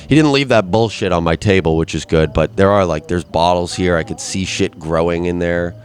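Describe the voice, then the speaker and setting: A man's voice: sits low, normal speed, faint music behind. Young man rambling, stuffy nosed. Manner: rambling, crude, self-deprecating, many restarts. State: stuffed up nose. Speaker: podcast host. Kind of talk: rambling solo monologue.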